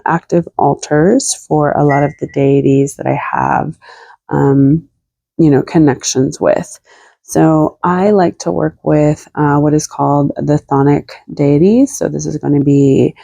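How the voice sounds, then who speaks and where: Low, gravelly woman with a cold. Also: mellow, dry recording. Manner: rambling, with many fillers and hedges. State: congested from a cold, a bit raspy. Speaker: tarot reader and spiritual content creator. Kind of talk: casual talk to camera.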